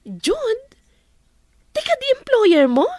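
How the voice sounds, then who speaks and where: High, animated teasing Filipina. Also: female voice, slow, exaggerated voice. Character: lively, teasing female confidante. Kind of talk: Ilocano radio drama acting.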